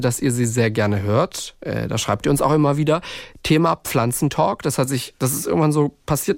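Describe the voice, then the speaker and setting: Higher-voiced man co-hosting, dry sound. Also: creaky, a little mellow, no room sound. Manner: informal, conversational. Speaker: podcast co-host. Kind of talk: casual chat between co-hosts.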